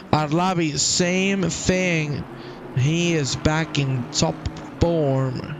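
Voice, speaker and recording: Male sim racing commentator, unhurried and a bit high, playful, peaks at the checkered flag; engine noise behind. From half Croatian. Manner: enthusiastic, jokey, swells loud and high at the finish. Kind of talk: live race commentary.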